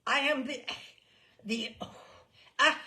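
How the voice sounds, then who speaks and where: A woman's voice: sits high, slow pace, bright, forceful tone, pitched above the performer's own voice, exaggerated voice. A woman voicing a flustered male lieutenant with a bad stammer in a storybook reading. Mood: annoyed, struggling. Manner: stammering, struggling to get words out.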